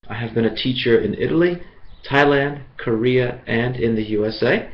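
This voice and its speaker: Man from the USA, online English teacher, measured and clear, slightly dull sound. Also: mid-range voice, a little hiss or hum. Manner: slow, clear, encouraging teacher talk.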